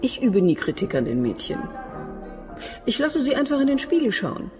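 A woman's voice: high voice, wildly swooping pitch, normal speed, music in the background. A woman playing a worldly, wry, self-assured model agency boss in a German radio play, chatty and ironic.

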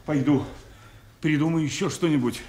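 A man's voice: fairly high pitch, normal speed, darkish tone, natural voice. An actor playing a kindly, concerned, discreet nobleman in a classical Soviet radio drama. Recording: echoey Soviet radio theatre recording.

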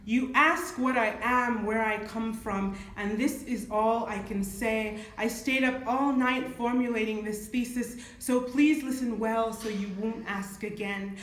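A woman's voice: on the high side, fairly even intonation, a little slow, hardly any pauses, a bit bright. A woman in her thirties from Nigeria performing a spoken word poem at a lectern, rhythmic and emphatic, recorded from a camera across a small room. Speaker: poet. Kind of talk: spoken word poetry reading. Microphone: far camera. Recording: echoey room.

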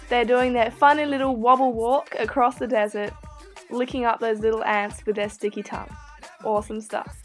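A young Australian woman giving casual, enthusiastic wildlife commentary in a gushing, chatty way with informal slang. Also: fairly high pitch. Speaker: wildlife vlogger.

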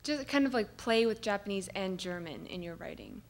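A woman's voice: mid-range voice, expressive, a little fast, gravelly. A woman in the audience asking a long, hedged question from the floor. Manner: rambling, hedged with fillers.

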